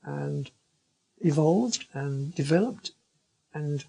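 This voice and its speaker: A man with a husky, gravelly voice giving an interview testimonial. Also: a little high, animated, slow pace, mellow, poor audio.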